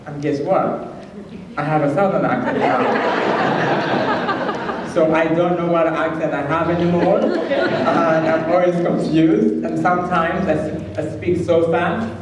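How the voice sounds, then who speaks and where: A man from Rwanda with a Rwandan accent gives an earnest, halting personal testimony as a guest speaker in church. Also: fairly high pitch, a crowd in the background. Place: large church hall, speaking to an audience. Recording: very echoey hall, distant mic, faint noise.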